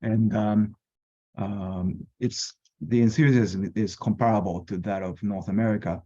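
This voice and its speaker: A man's voice: fairly low pitch, fairly even intonation, warm tone. Japanese man, middle-aged, accented English, hesitant webinar speaker, dull lo-fi call audio. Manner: hesitant, many pauses and fillers. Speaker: board candidate. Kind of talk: webinar candidate presentation. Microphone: video call.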